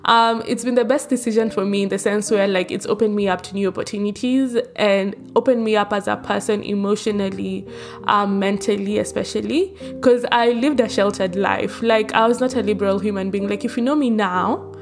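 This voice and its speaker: A woman's voice: medium pitch, gravelly. A young Kenyan woman with a Kenyan accent, hosting a casual solo podcast, blunt and chatty with frequent fillers. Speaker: podcast host.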